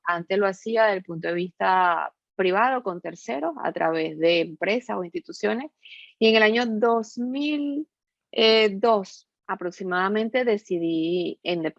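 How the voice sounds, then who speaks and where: A woman's voice: medium pitch, creaky, no room sound, highs cut off. A middle-aged woman with a Venezuelan accent giving conversational interview answers over an online call, talkative and often adding little tag questions. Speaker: podcast guest, entrepreneur and business consultant. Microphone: remote online call.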